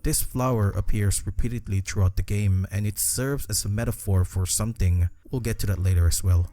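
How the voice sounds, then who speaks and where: A man's voice: lowish voice, fairly quick, mellow. A man reading scripted narration for a video essay.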